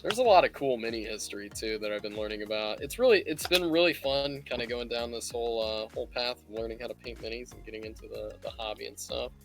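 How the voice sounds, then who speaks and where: A man's voice: mid-range voice, fast, vocal fry, few pauses, fairly bright tone, faint music behind. A man hosting a casual live painting tutorial, thinking aloud and rambling as he works. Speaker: livestream host teaching miniature painting.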